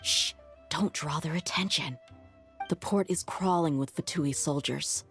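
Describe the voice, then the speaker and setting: A capable young mercenary woman in a video game, her voice notably low for a woman. She speaks in a measured, watchful and decisive way over background music. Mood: calm, watchful. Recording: background music under the dialogue.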